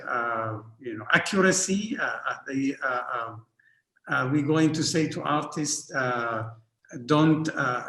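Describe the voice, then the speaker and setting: A man from Northern Sudan, an art historian speaking on an academic panel, halting often with repeated fillers and restarts. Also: on the high side, fairly bright tone. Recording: roomy echo.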